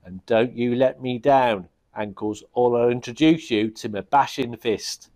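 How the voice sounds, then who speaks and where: A man voicing a boastful, crude goblin king who bellows his orders in a rough manner. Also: mid-range voice, a little slow, darkish tone, lightly acted. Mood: bossy. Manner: bellowing, brash and rough-spoken.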